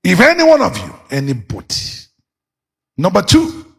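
Male pastor, slightly high, very raspy, preaching with shouted bursts. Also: wildly swooping pitch, moderate pace. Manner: preaching with sudden shouts and dramatic swings in pitch. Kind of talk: sermon.

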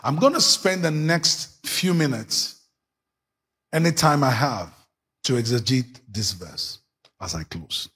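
A male pastor preaching a sermon, dropping his voice low and then bursting into shouts. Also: slow, slightly rough. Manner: preaching with sudden shouts and dramatic swings in pitch.

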